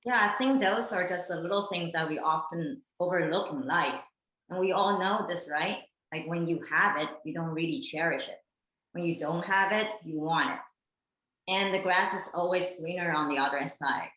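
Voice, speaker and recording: A woman's voice: fairly low pitch, moderate pace, bright, forceful tone. A woman playing a philosophical, reflective character in a casual documentary play, recorded with a roomy echo.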